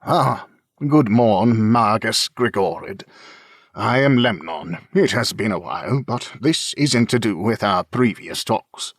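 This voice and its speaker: A man's voice: lowish voice, animated, no echo, full character voice. Guy doing a mild earnest monk, formal and polite, lots of inflection, fantasy audiobook, dry recording. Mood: polite, measured. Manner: measured, formal, conciliatory. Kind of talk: fantasy audiobook character acting.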